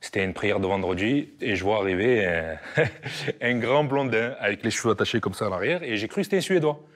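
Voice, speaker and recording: A man's voice: lowish voice, animated, a little fast, fairly bright tone. A man talking about an old friend in a casual interview, chatty and full of fillers. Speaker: childhood friend.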